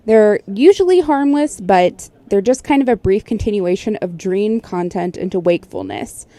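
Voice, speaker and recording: A woman's voice: medium pitch, sing-song, normal speed, warm tone, dry recording. A woman hosting casual, explanatory commentary in a conversational way, like a specialist breaking things down. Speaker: host, sleep technologist.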